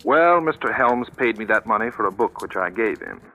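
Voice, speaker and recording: A man's voice: on the low side, lively intonation, brisk, sharp tone, muffled recording. A man acting a wry, jaunty sleuth in a 1940s radio thriller, with a sardonic, dryly formal, wordy delivery. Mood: guarded. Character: wry, jaunty male sleuth narrating his own story. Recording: vintage radio drama, music behind.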